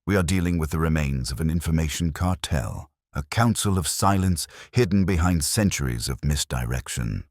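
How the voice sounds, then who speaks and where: A man voicing an intense, precise detective in an audio story, speaking in a deliberate, commanding, didactic way. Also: very deep voice, expressive, a little mellow, dry recording, studio quality, exaggerated voice. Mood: grave.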